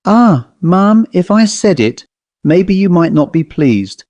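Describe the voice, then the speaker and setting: A man's voice: high-pitched, sing-song, slow, darkish tone, no echo, pitched above the performer's own voice, heavily acted. A man voicing a tearful, fretful young maid who pleads and keeps repeating "ma'am". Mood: pleading. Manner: pleading, breathless repetition of ma'am.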